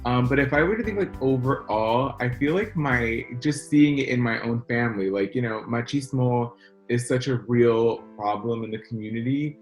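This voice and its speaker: A man being interviewed as a guest, telling personal stories in a chatty, animated way. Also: mid-range voice, normal speed, soft background music. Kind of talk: casual interview talk.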